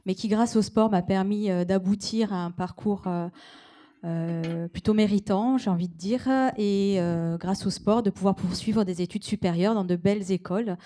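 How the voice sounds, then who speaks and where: A woman from the Paris region introducing herself as an entrepreneur on a panel, halting with many fillers. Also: medium pitch, steady tone, dark tone. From Paris region, France. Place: conference stage.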